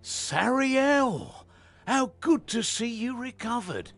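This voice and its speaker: An actor playing an earnest, remorseful ancient warrior in a fantasy video game, with a creaky voice. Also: male voice, very high voice, sing-song, takes it slowly, a bit bright, faint music behind, exaggerated voice. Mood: glad.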